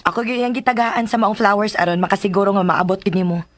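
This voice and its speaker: A woman's voice: medium pitch, fast, no echo, close to an everyday voice. A woman with a Filipino accent playing a young, curious, caring daughter questioning her mother in a radio drama. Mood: curious, eager. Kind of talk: Philippine radio drama acting.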